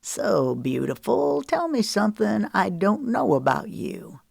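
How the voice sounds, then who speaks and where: A woman's voice: medium pitch, big pitch swings, a little slow, a little mellow, dry recording, voice pushed up, exaggerated voice. Woman playing a smug sneery guy, dry close audiobook voice. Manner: smooth and sneering. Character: smug, pushy young man, sly and leering. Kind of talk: audiobook dialogue.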